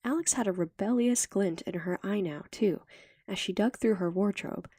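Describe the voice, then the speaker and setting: A woman's voice: mid-range voice, moderate pace, warm tone, slightly rough, no echo, studio quality. A woman reading scripted fiction as an audiobook narrator.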